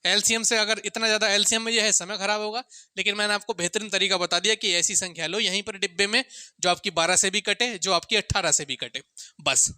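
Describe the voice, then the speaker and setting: Sharp, high-pitched male maths teacher. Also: no room sound, studio quality. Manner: asks questions and answers them himself, repeats key points; addresses listeners often as sir and friends. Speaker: maths teacher for exam coaching. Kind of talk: informal classroom-style lesson, talking to students.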